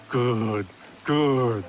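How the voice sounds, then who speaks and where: A man playing a refined, genial tea master of feudal Japan in a game, measured and contemplative. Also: medium pitch, darkish tone.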